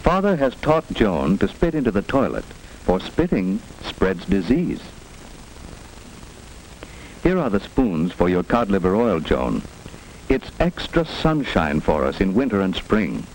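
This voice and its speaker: A man's voice: sits low, very animated, a little slow, a little mellow. A man narrating a 1940s educational film in a simple, instructive manner suited to children. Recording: noisy 1940s film soundtrack with hiss.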